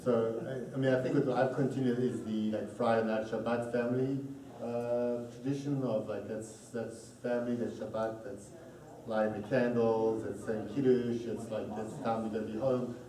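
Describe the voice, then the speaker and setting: A man from South Africa talking casually in a group discussion, halting with many fillers. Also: medium pitch, little pitch movement, warm tone. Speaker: panel speaker, parent. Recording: echoey room, distant mic, faint noise.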